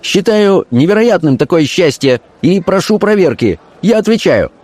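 A man voicing an indignant, hot-headed young army officer in an audiobook, sharp, insistent and defiant. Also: a little high, huge pitch swings, unhurried, pitched above the performer's own voice, exaggerated voice. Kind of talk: audiobook character acting.

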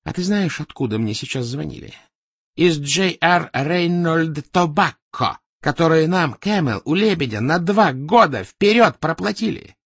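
A man's voice: a little high, sing-song, normal speed, gravelly, a bit bright, breathy edge, no echo, pitched above the performer's own voice, full character voice. A man reading an audiobook as a furious, domineering media boss who barks out menacing questions. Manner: barking, interrogating, menacing.

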